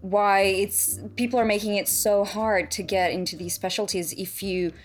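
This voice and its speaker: A woman's voice: mid-range voice, steady tone, moderate pace. Hesitant woman surgeon chatting. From Serbia. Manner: thoughtful, hesitant with many ums and restarts. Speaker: surgeon guest. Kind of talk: casual podcast chat.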